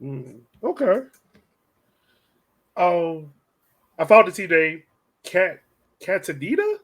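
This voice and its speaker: A man's voice: high-pitched, very animated, takes it slowly, fairly bright tone. A man hosting a casual sports podcast, putting questions to a guest. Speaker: podcast host.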